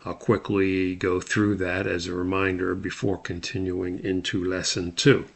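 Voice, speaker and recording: A man giving an explanatory slide lecture as an instructor, often pausing on filler sounds. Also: sits low, flat delivery, vocal fry. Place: voice-over recorded over slides.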